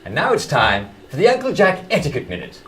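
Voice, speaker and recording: Casting: an over-jolly sixties TV etiquette presenter, pitch leaping wildly, chummy and theatrical. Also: male voice, huge pitch swings, fairly quick, a bit bright, soft background music. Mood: forced cheer. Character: relentlessly cheery male television presenter of etiquette tips. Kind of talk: 1960s-style public television address.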